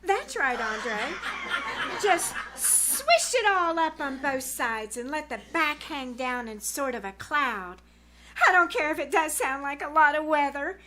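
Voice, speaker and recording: A gushing, bossy teenage girl played by a woman holds forth in a live 1940s-style radio comedy. Her delivery is theatrical and unhurried, with big melodic swings. There is slight noise in the stage recording. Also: high voice, expressive, pushed and bright, exaggerated voice.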